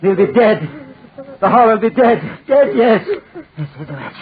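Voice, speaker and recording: A man's voice: sits high, sing-song, a little slow. An actor playing a deranged, desperate man in 1940s radio style, repeating his phrases feverishly and obsessively. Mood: feverish excitement. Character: deranged desperate man set on burning his tormentor to death. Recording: scratchy, tinny 1940s radio recording.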